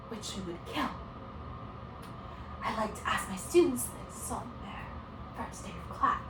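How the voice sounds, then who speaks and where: A woman playing an earnest, exasperated female teacher in a solo dramatic performance, speaking in a measured, stern and restrained way. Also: fairly high pitch, reverberant, recorded from a distance, background noise.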